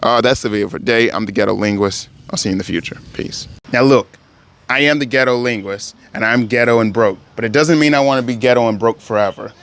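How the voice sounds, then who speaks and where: A man's voice: medium pitch, fast talker, fairly bright tone. A man giving a casual, rambling vlog update on his language learning, often correcting himself and then turning motivational. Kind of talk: casual spoken update to camera. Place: home in Thailand.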